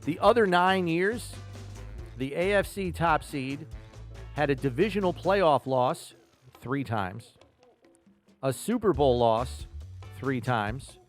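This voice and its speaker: A man with a fairly high-set voice hosts a sports talk show. He delivers questions and analysis with emphasis, like a quizmaster. Faint music plays under his voice. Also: animated, takes it slowly, pauses often. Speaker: podcast host. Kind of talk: sports talk and trivia quiz.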